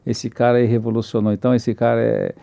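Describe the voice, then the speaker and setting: Gravelly, fast-talking enthusiastic male host. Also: mid-range voice, little pitch movement, warm tone, dry recording, studio quality. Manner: enthusiastic, rambling, full of restarts. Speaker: podcast host. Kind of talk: podcast host introduction.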